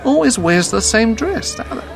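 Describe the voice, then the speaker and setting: A man's voice: very high voice, very animated, unhurried, creaky, faint music behind, a faint crowd, exaggerated voice. A man playing an observant, gossipy hotel bartender in a radio drama, chatty and insinuating. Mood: curious, teasing. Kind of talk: detective radio drama acting.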